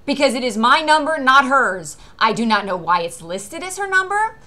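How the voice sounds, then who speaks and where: A woman's voice: sits high, big pitch swings, moderate pace, sharp tone. An American woman giving an unscripted personal monologue in a rambling, aggrieved, insistent manner. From US East Coast. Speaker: actor recording a personal video.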